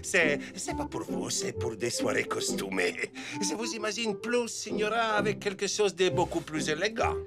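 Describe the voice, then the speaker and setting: High, flamboyant cartoon hairdresser Giorgio. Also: male voice, very animated, moderate pace, few pauses. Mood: suave, persuasive. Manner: suave, theatrical, effusive. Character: flamboyant, flattering male salon hairdresser named Giorgio, elephant cartoon. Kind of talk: French children's cartoon dub. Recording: constant loud cartoon music.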